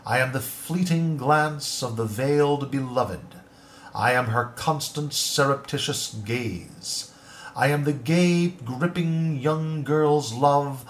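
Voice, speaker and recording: A man reciting verse at a slow, deliberate pace, every line weighed out. His delivery is declamatory and theatrical, rising to bold proclamations and sinking to near murmurs. His pitch sits in a middle register. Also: a little hiss or hum. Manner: declamatory, swings between loud and hushed. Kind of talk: dramatic poetry recitation.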